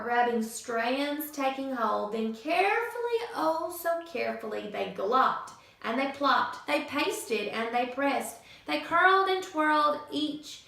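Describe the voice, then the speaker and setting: A woman teacher reading a picture book aloud to children in an expressive, sing-song storytelling manner. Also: a little high, gravelly. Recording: echoey room from far off.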